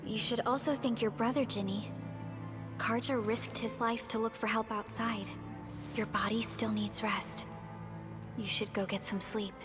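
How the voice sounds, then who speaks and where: An actress playing a composed, caring female medic in a video game, gentle and measured in manner. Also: fairly high pitch, steady tone, lightly acted. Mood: soothing. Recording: music behind.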